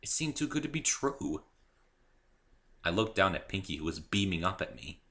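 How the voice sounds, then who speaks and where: A man's voice: deep voice, sing-song, moderate pace, a bit bright. A man reading a first-person story aloud as a dramatic narration. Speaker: amateur reader and author of the story.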